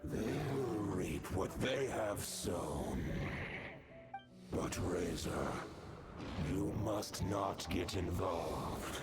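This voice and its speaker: A man voicing a wise, commanding great wolf spirit in a video game, grave and formal in speech, with measured phrasing. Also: deep voice. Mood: stern. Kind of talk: video game character dialogue. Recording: loud music behind the voice.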